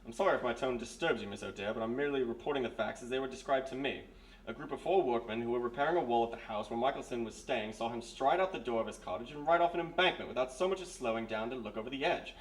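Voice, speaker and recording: A man's voice: mid-range voice, fast, natural voice. A man playing a stern, dry army major with clipped, formal speech in a live radio mystery. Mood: dry, disapproving. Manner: clipped, formal, matter-of-fact. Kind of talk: live old-time radio mystery acting. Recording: roomy live stage, distant mic.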